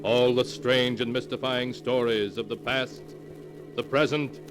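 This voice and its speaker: A man announcing a 1940s radio drama in a solemn, booming manner. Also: little pitch movement, a little slow, lightly acted, low-quality recording. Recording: old radio recording with music.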